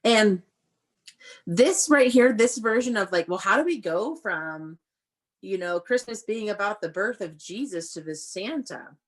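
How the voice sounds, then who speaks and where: A woman teaching young children through a read-aloud, explaining in an animated way and often putting questions to them. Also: mid-range voice, a little slow. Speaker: elementary school teacher.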